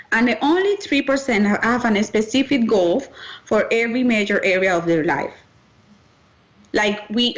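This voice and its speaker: A woman's voice: fairly high pitch, low-quality recording. A woman from Cuba, a personal development coach, presenting in an earnest way and restarting her phrases often. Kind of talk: webinar presentation.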